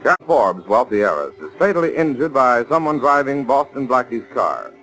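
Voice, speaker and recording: A man narrating dramatically and deliberately as announcer of a 1940s radio mystery. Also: mid-range voice, big pitch swings, a bit bright, poor audio. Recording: remastered 1940s radio recording. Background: music.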